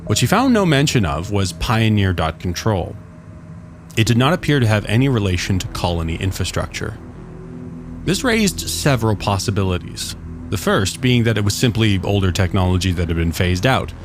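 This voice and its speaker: Male narrator, lower register, reading scripted sci-fi fiction with prominent music underneath. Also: sing-song, normal speed.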